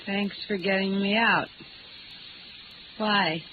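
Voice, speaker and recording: A woman's voice: mid-range voice, steady tone, slow pace, narrow, tinny audio, noisy background, lightly acted. An American woman playing a streetwise, cynical young petty thief in a 1950s radio drama, curt and suspicious. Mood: suspicious, guarded. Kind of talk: 1950s radio noir acting. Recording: thin old radio recording, loud music.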